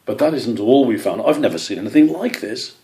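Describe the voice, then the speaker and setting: A man voicing a gruff, worried older badger father in an animal story, with urgent, commanding delivery. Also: medium pitch, expressive, fairly quick, dark tone, close to an everyday voice. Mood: puzzled, grave.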